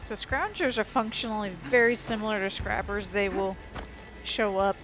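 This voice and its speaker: A woman's voice: medium pitch, normal speed, poor audio. A woman giving casual, informal video game commentary, talking to viewers as she plays. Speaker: gameplay commentator. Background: game music and sound effects.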